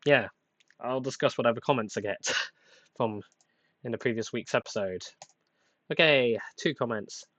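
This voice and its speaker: Young male podcast host, rambling and hesitant, plain flat voice, slightly under the weather; dry, dull lo-fi mic. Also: mid-range voice, normal speed, long pauses, no echo. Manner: rambling, self-deprecating, hesitant with many uhs and restarts. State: getting over a cold. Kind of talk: casual solo podcast rambling.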